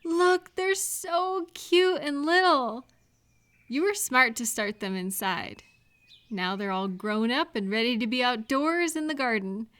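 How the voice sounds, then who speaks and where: An actress plays a caring woman friend in an intimate roleplay audio. Her voice sits a little high and moves at an unhurried pace. She is gentle and cheerful, asking attentive questions and leaving room for the answers. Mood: cheerful, excited. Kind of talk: scripted one-sided roleplay audio, addressed to the listener.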